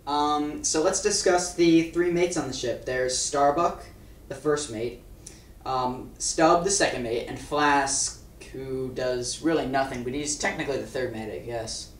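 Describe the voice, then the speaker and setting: A man's voice: mid-range voice, unhurried, close to an everyday voice. A man playing an easygoing, awkward book-discussion leader in a comic puppet show, with hesitant conversational delivery. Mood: casual, hesitant. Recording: echoey room, distant microphone.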